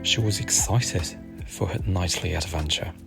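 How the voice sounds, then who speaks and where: A man softly narrating a soothing ASMR bedtime story in a gentle, unhurried, hushed manner over music. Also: deep voice, creaky. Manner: gentle, hushed, unhurried, at times near a whisper. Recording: music behind the voice.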